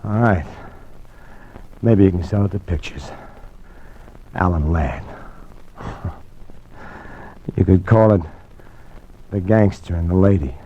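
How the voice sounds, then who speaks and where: A man's voice: deep voice, slow, long pauses. A man acting a small-time gangster in an old-time radio crime drama, a wisecracking ladies' man with wry, street-slang talk. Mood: weary, confiding. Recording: dull, noisy vintage radio recording.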